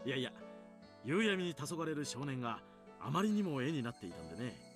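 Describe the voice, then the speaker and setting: A man's voice: medium pitch, very animated, racing, close to an everyday voice. An actor playing an affable, apologetic adult male photographer in a Japanese anime visual novel. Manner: friendly, apologetic. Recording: music clearly behind the voice.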